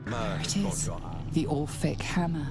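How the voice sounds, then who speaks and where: An actress playing an ominous, composed narrator who delivers measured, portentous narration in a fantasy video game. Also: female voice, low-pitched. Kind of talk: scripted second-person narration. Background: loud background music.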